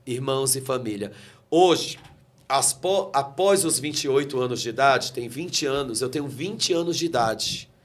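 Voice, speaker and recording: A man's voice: medium pitch, moderate pace. A man, a guest pastor giving an emotional testimony and quoting scripture with preacherly fervor. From Brazil. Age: 40s. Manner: fervent, preacherly, quotes scripture. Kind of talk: emotional testimony in a talk interview.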